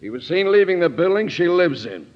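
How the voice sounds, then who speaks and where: An actor with an American accent playing a brusque, hard-nosed police sergeant in a 1940s radio drama. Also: male voice, sits high, big pitch swings, a little fast. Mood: stern. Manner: brusque, commanding, accusing. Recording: muffled old-time radio recording.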